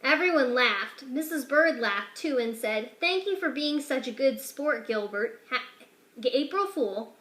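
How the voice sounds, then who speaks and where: Female narrator for a kids' picture-book read-aloud, high and bright, lively character voices, roomy echo. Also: measured pace. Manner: expressive, shifts pitch and loudness for each character. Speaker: teacher reading aloud.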